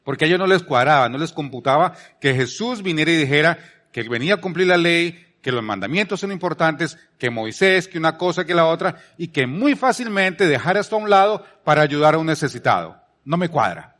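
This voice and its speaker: A man's voice: on the high side, big pitch swings, fairly quick, fairly bright tone. A man from Colombia with a Colombian accent, giving a conversational sermon as a preacher, repeating phrases for emphasis and posing rhetorical questions. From Palmira, Colombia.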